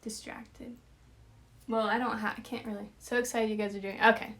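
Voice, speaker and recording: A young woman hosting a casual chat, chatty and quick to laugh as she tries out accents. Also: a little high, level tone, a bit bright. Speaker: host.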